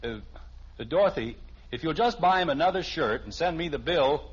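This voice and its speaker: A man's voice: fairly high pitch, expressive, moderate pace, slightly rough. An actor playing an exasperated middle-aged suburban father with an American accent, flustered and wry, in 1950s radio sitcom style. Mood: flustered, placating. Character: exasperated middle-class suburban father, easily put upon. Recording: dull, hissy old radio recording.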